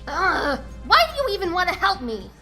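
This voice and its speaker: A woman's voice: very high voice, wildly swooping pitch, moderate pace, pushed and bright, faint music behind, full character voice. A woman voicing a hot-headed young female tenrec fighter in a comic dub, cocky, snappy and sarcastic. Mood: irritated.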